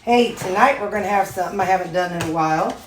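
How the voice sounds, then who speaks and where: A woman's voice: on the low side, quick pace, a crowd in the background. A woman chatting casually while she cooks in her home kitchen for a vlog. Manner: chatty, folksy, talks while cooking. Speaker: vlogger. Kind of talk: casual cooking vlog chat. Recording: echoey kitchen with faint noise.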